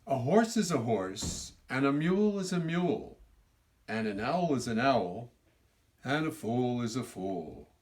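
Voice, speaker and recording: A man's voice: mid-range voice, big pitch swings, unhurried, darkish tone. Man voicing a preachy, declaiming trickster bird. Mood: emphatic chanting. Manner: declaiming, chanting rhymed riddles emphatically. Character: male trickster bird teacher, preacher-like riddler.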